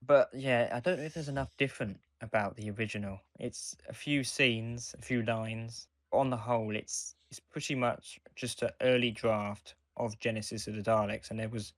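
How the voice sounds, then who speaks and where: A man's voice: mid-range voice, steady tone, moderate pace, hardly any pauses, no room sound. A man co-hosting a podcast in casual, conversational discussion. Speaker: podcast co-host.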